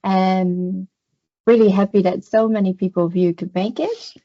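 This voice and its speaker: A woman hosts a webinar with a gravelly, mellow voice. She opens with a Māori greeting and gives an unhurried, formal introduction, and the video-call audio sounds dull and lo-fi. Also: mid-range voice, fairly even intonation. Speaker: webinar host.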